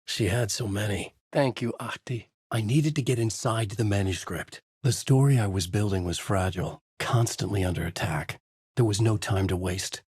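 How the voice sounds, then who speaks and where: An actor playing a brooding, haunted male writer, narrating his dark story in a measured, dramatic, intense way with a husky voice. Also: on the low side, no room sound, clean studio sound. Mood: grave, suspenseful. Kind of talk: scripted first-person noir narration.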